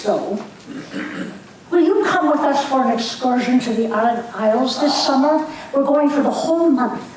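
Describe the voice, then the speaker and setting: A woman's voice: high-pitched, a little mellow. An older woman acting a spirited young Irish nationalist in an amateur stage reading, earnest and needling. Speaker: community theater actor. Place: community stage hall. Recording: echoey hall, mic far off, faint hiss.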